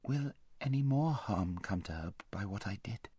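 A man voicing a respectful young girl in a storybook reading, quiet and subdued. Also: fairly low pitch, lively intonation, normal speed, husky, no echo, studio quality. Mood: hesitant, humble. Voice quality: quiet, hushed.

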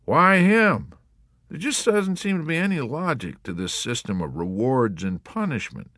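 A man's voice: medium pitch, sing-song, a little slow, a bit bright, no echo, studio quality, pitched above the performer's own voice, full character voice. A man voicing a sick warrant officer who laments his bad luck in a whining, aggrieved way. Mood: lamenting.

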